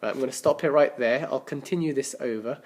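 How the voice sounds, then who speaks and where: A man reading a children's story aloud as a teacher, with animated, dramatic emphasis and the odd stumble over words. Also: medium pitch, quick pace. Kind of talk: expressive children's storybook narration.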